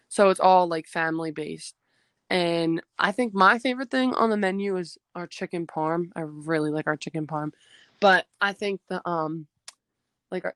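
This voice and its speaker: Teen girl from jersey, first language greek, lowish voice, says like a lot, dry close sound. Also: no room sound. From New Jersey, USA. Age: teens. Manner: hesitant, many fillers like "um" and "like". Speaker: high school basketball player, guest. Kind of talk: casual interview answers.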